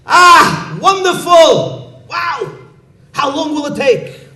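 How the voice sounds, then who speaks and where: Shrill pompous overlord, put on by a man storyteller, echoey room, mic far off. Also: sing-song, takes it slowly, heavily acted. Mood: delighted. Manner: shrill exclamations, loud and high. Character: excitable pompous male overlord, doting on his dog.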